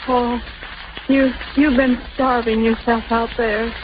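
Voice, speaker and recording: High-voiced, frail, anxious mother. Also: level tone. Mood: anxious, pleading. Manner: tender, tearful, halting. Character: frail, starving, anxious mother of a young German soldier. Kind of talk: 1930s radio acting. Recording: noisy, muffled 1930s radio broadcast.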